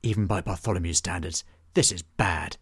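A man's voice: mid-range voice, big pitch swings, fast, a little mellow, slightly rough, no room sound, clean studio sound. A man voicing a grumpy male talking tree in a storybook reading, with an exasperated, impatient manner. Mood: grumbling.

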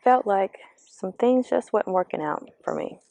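A woman giving a reflective, earnest motivational talk outdoors, speaking slowly and asking the listener questions, her voice slightly raspy. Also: medium pitch, lively intonation, dark tone, no echo. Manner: slow, earnest, coaching, asks the listener questions. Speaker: vlogger giving spiritual encouragement. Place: outdoors among birds. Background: birdsong.

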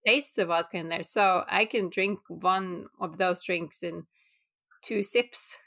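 A woman's voice: on the low side, takes it slowly, creaky, fairly bright tone. Teasing, blunt woman podcast host. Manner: blunt, teasing, matter-of-fact. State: pregnant. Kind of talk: casual chat.

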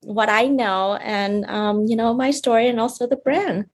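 Young woman from Vietnam, beauty founder; soft, unhurried guest storytelling with small laughs. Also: on the high side, steady tone, slightly rough. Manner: soft-spoken, fillers and restarts, light laughs. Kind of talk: casual interview talk.

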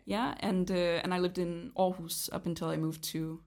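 A young woman raised in Canada, chatting as a podcast guest about her work, often pausing with ums and restarting sentences. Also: lowish voice, fairly even intonation, darkish tone. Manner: hesitant, many ums and restarts. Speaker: guest, project leader. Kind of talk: casual interview chat.

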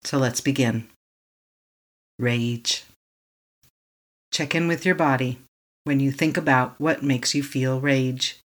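A meditation guide leading a tapping session, speaking slowly and calmly in short affirmations for the listener to repeat. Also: mid-range voice. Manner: calm, slow, repeating affirmations to be echoed back.

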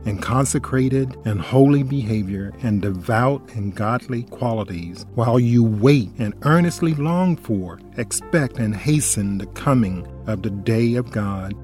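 A man's voice: on the low side, dark tone. Earnest man reading scripture. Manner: earnest, questioning, measured reading. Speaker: radio Bible study host.

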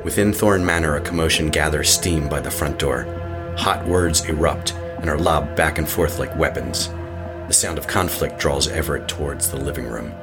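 Guy doing super low ominous narrator voice, solemn horror audio drama, music underneath. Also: moderate pace. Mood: tense. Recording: music behind the voice.

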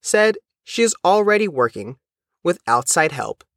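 Lively-pitched man reading news, dry sound. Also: measured pace, no echo. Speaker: reporter. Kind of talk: scripted news narration.

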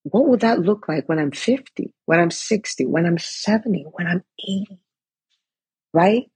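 A woman's voice: lowish voice, expressive, normal speed, darkish tone, husky, dry recording. A woman in her forties giving an earnest motivational talk, full of "right?" and "like". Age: 40s. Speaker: coach for physicians in business.

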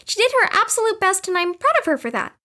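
A woman's voice: very high-pitched, sing-song, quick pace, bright, forceful tone, heavily acted. A woman playing an earnest, nosy young woman, a little defensive, in an audio drama. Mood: defensive, hesitant.

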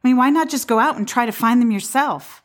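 An actress playing a skeptical but helpful apartment dweller in an audio play, speaking in a hesitant, conversational way. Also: female voice, on the high side, fast talker, natural voice. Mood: skeptical, wistful.

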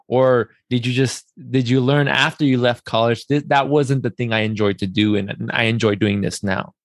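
A man's voice: mid-range voice, no echo, clean studio sound. A man hosting a podcast interview in a casual, talkative and affirming way. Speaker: podcast host.

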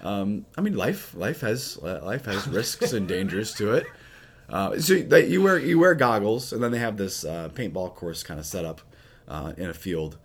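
A man with a very low voice, hoarse and raspy. He talks at an easy, rambling pace as a priest chatting on his podcast. His speech is full of casual fillers. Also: moderate pace, a little hiss or hum. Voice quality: low, husky. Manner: relaxed, rambling with many fillers. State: raspy, recovering voice. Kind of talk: casual chat.

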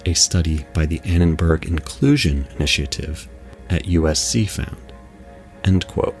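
Very deep-voiced male podcast host. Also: a little slow, darkish tone, soft background music. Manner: articulate, formal. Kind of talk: scripted film commentary.

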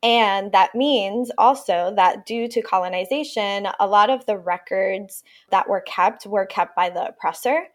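A woman's voice: medium pitch, unhurried, hardly any pauses. Reflective woman, native Spanish speaker. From Puerto Rico. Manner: reflective, thoughtful, uses fillers like "you know". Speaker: yoga teacher, guest. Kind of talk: podcast interview.

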